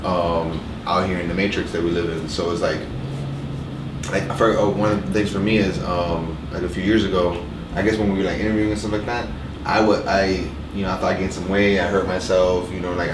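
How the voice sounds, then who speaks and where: A man in his thirties with a very low, monotone voice talks slowly through a relaxed podcast chat. The mic sits well back in an echoey room. A steady air-conditioner hum runs underneath. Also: far from the mic. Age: 30s. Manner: monotone, unhurried speech. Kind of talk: casual podcast conversation.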